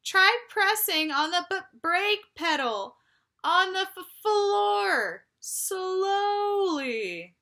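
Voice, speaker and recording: A woman's voice: extremely high pitch, sing-song, extremely slow, bright, forceful tone, voice pushed up, exaggerated voice. A woman voicing an earnest, cautious young boy explorer in a storybook, speaking with urgent emphasis. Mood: alarmed, urgent.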